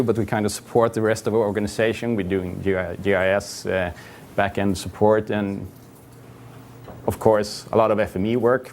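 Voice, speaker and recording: A man's voice: lowish voice, a little mellow, a little hiss or hum. A man in his thirties with a Swedish accent gives a conference talk in a hall, hesitant with many fillers and restarts and wry asides. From Sweden. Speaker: conference presenter. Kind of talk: conference presentation.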